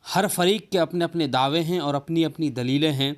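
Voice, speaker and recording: A man's voice: on the high side, moderate pace. A man presenting a tech explainer, speaking directly to his viewers as a tutorial host. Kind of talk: explainer video addressed to viewers.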